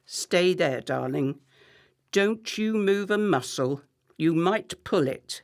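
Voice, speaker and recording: A woman's voice: lowish voice, lively intonation, takes it slowly, vocal fry, heavily acted. A woman playing a contemptuous, sarcastic middle-class wife in a radio play, with a cutting, theatrical delivery. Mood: acidic mockery.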